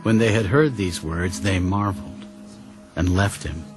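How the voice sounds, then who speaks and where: Deep-voiced male narrator over music. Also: measured pace. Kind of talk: scripted scripture narration.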